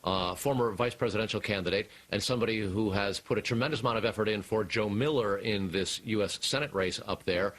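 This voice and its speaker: A man with an American accent reporting live as a television news correspondent, deliberate and emphatic. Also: lowish voice, fairly bright tone, low-quality recording.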